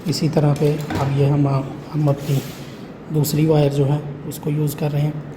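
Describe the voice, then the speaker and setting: A man's voice: a little high, monotone, a little slow. A man giving a casual hands-on how-to demonstration, explaining step by step with frequent check-in phrases. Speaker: tutorial host showing an intercom installation. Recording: clearly noisy room recording.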